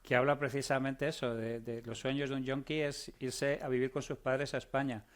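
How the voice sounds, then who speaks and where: A man's voice: mid-range voice, flat delivery, moderate pace. A man with a Spanish accent, a podcast host, chatting casually and trailing off with fillers.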